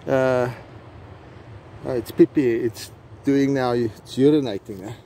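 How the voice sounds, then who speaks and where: A middle-aged South African man, an Afrikaans speaker, talks slowly into a handheld phone while out on a coastal trail. He hesitates often and restarts his sentences. Faint outdoor noise sits behind his voice. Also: medium pitch, dark tone. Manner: slow, halting, with many fillers and restarts. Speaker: vlogger host. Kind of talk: casual outdoor vlog narration. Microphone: phone camera held by hand.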